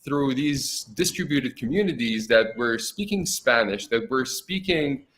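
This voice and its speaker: A man co-hosting an online interview in an easy, chatty way. His long sentences wander and lean on "you know" as he thinks out loud, heard over a video call. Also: mid-range voice, expressive, measured pace. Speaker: co-host. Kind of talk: casual interview chat.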